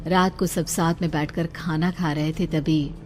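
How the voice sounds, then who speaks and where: A woman's voice: low voice, steady tone, unhurried, darkish tone. A woman narrating a scripted story in a dramatic storytelling style. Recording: music behind the voice.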